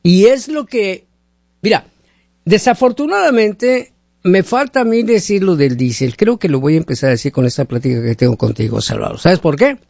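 A man's voice: sits high, lively intonation, normal speed, vocal fry, a little mellow, no echo, clean studio sound. A man hosting a radio call-in show, chatting casually in a folksy, talkative way and often asking rhetorical questions. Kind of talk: casual opinionated on-air chat with callers.

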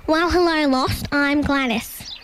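A woman's voice: high voice, slow pace, background noise. A woman voicing a fussy, decisive female emu in a children's audio story, with a blustering, chatty, folksy manner. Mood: brisk, decisive.

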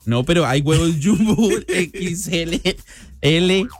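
Colombian guy radio host, kinda high voice, chatty and slangy, super informal. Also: slow. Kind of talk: casual live radio chat.

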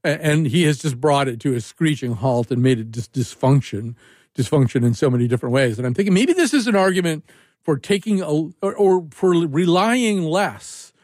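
A man's voice: on the high side, expressive, moderate pace, darkish tone, no room sound, studio quality. A man hosting a call-in radio show, talking casually in a rambling, wry way and often restarting his sentences. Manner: rambling, self-interrupting, wry.

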